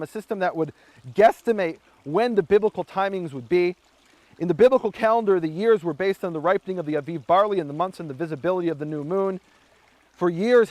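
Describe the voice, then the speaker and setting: A man teaching on scripture and history in a storytelling style, raising his voice to act out what the people in his story said. His voice sits fairly high, and the sound is close and dry with no room echo. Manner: animated storyteller acting out shouted quotes. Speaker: Bible teacher.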